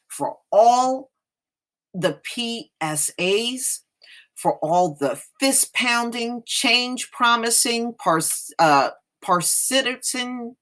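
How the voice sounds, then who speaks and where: A woman's voice: fairly high pitch, a bit bright. An American woman hosting, reading an article aloud and adding plain-spoken casual commentary.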